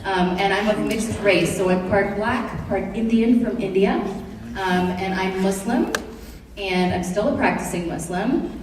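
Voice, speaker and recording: Woman from Kenya, middle-aged, presents to students with lively, joking audience questions; heard from a distance in a long-reverb hall. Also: mid-range voice, a little slow, background noise. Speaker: presenter to high school students. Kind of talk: classroom presentation. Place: large room or hall. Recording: echoey hall, voice from far off.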